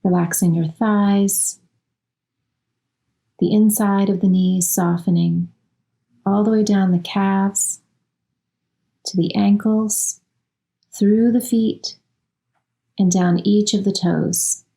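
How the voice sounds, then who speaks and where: A woman leading a guided relaxation as a yoga nidra instructor, speaking soothingly in short, unhurried phrases with long pauses. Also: mid-range voice, steady tone, vocal fry, warm tone.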